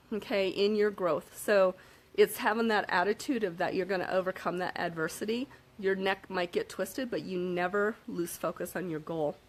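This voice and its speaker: A woman with a slightly hoarse voice, giving a casual motivational talk to camera and checking in with her listeners often. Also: mid-range voice, rough recording. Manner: upbeat, frequent "okay?" check-ins and fillers. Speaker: self-help vlogger.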